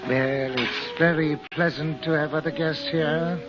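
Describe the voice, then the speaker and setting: An actor playing a courteous, world-weary expatriate doctor in a 1940s radio drama. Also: male voice, a little high, fairly even intonation, unhurried, natural voice. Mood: hospitable, weary. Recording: muffled old radio broadcast, music behind.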